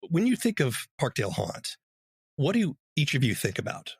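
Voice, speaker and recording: A woman's voice: sits low, sing-song, moderate pace, a little mellow, breathy edge, dry recording. A woman chatting as an interviewer in an easygoing, conversational manner. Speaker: interviewer, voice actor. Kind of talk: casual interview chat.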